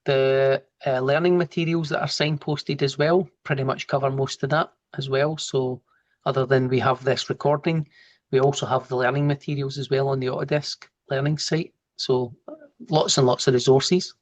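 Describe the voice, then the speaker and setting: A man with a broad Glasgow accent, a trainer giving a relaxed, informal live online lesson over a video call and talking through each step as he works. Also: medium pitch, normal speed, muffled recording. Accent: Glasgow Scottish. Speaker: software trainer. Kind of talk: live online training, explaining and answering questions.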